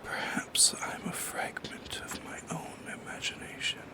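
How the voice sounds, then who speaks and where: An ASMR performer voicing a lonely, wistful shadow creature that haunts at night, musing slowly and hesitantly in a soft, breathy whisper. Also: natural voice. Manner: slow, hesitant, philosophical musing. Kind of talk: soft-spoken ASMR monologue.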